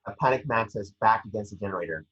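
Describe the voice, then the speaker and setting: A man's voice: on the low side, fast talker, a bit bright, breathy edge, lo-fi sound. A man narrating screenplay action in an amateur table read, occasionally stumbling over the script. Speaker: scene-description reader.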